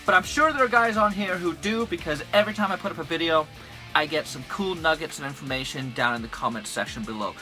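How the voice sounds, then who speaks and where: A man's voice: a little high, fast, bright, forceful tone, faint music behind. A man hosting an informal instructional video, speaking emphatically with playful turns of phrase. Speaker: host of a gear and vehicle channel.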